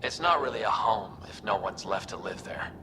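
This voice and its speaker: An actor playing a gruff, intense and driven male alien soldier in a video game. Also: on the low side, expressive, normal speed, noisy background, close to an everyday voice. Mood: grim. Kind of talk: video game cutscene acting.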